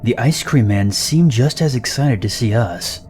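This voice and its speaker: A man narrating horror stories in an even, measured voice. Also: mid-range voice. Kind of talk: scripted narration of reader-submitted stories. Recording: narration over loud background music.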